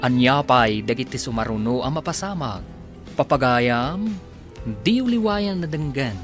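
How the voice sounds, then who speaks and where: A man announcing a radio drama in a dramatic, scripted Ilocano delivery. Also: medium pitch. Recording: radio announcement over guitar music.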